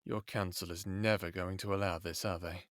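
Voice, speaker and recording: A man's voice: deep voice, moderate pace, dry recording, clean studio sound. An actor playing an earnest, idealistic and protective human man in an audio drama, hesitant at times and impassioned at others. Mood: troubled. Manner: expressive, hesitant then impassioned.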